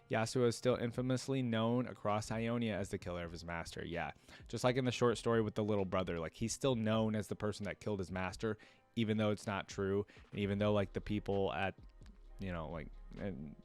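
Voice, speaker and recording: A man hosting a casual reaction video, reading aloud and breaking off into informal, rambling asides. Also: fairly low pitch. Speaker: reaction video host reading and commenting on game lore.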